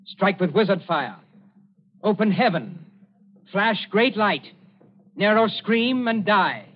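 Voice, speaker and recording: A man's voice: sits high, big pitch swings, slow, slightly rough, exaggerated voice. An actor playing a shrewd, sardonic man, controlled and persuasive, in a 1940s radio drama. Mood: coaxing. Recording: muffled, noisy vintage radio recording.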